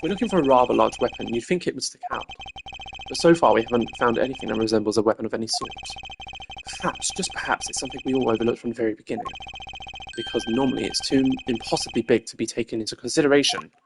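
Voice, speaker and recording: A man voicing a stern, pompous video game prosecutor who speaks formally and gives sharp commands. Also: mid-range voice, fast, vocal fry, noisy background, natural voice. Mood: deliberating. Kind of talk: theatrical voice acting of video game dialogue. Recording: music behind the voice.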